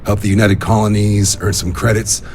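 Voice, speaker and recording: Man playing an easygoing low-voiced space fleet recruiter. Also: little pitch movement, a little fast, darkish tone, slight background noise, natural voice. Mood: friendly, wry. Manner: casual, wry, conversational. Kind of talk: video game character dialogue.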